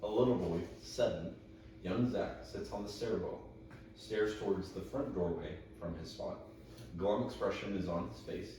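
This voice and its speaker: A young man directing a script table read, talking casually in a halting, rambling way. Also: sits low. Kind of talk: casual explaining. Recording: roomy, echoey, distant mic with noise.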